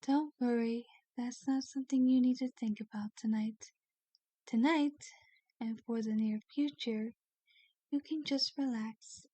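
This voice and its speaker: Casting: doting vampire mistress, played by a woman, gentle and refined, lightly high voice, very slow soothing delivery for ASMR audio drama. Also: steady tone, dry recording, close to an everyday voice. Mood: doting, pleased. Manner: gentle, soothing, genteel, coaxing. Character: kindly, doting female vampire, genteel mansion owner. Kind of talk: ASMR roleplay, soft scripted acting.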